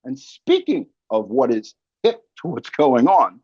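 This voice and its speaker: A man's voice: mid-range voice, huge pitch swings, unhurried, darkish tone, breathy edge, no echo. A man hosting a podcast, delivering an emphatic, colloquial and opinionated monologue. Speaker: podcast host. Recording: dry, slightly dull close recording.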